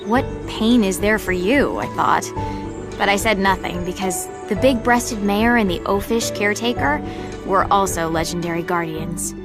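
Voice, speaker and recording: A woman's voice: mid-range voice, normal speed, close to an everyday voice. A woman playing a sardonic seventeen-year-old girl agent in a video game audio drama, snide and inquisitive, outwardly polite but privately scornful. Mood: dry, resentful. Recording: loud background music under voice.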